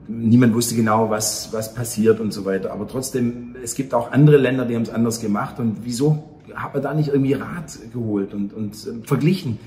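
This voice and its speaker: A man in his fifties talking earnestly and haltingly in a casual interview. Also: fairly low pitch, warm tone. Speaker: theatre operator, interview guest. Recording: echoey room, faint ambient noise.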